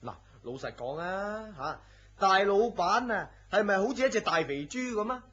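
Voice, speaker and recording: An actor playing a nosy, superstitious office worker in a Hong Kong Cantonese radio drama, gossipy and full of exclamations. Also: male voice, high voice, animated, moderate pace, a bit bright, breathy edge, full character voice. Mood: teasing, nosy. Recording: muffled lo-fi audio with faint noise.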